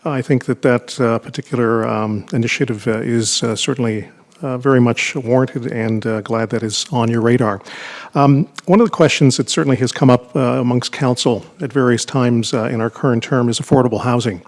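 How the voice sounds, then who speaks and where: A man with a Canadian accent, a town councillor asking a question at a council meeting, formal and polite with halting restarts. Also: medium pitch, steady tone. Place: council chamber.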